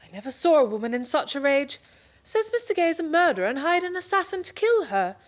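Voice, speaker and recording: A flustered young wife with a high voice, fussing and fretting over everything at once. Her lines come in the anxious, fluttering manner of a 1930s radio play. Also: big pitch swings, a little fast.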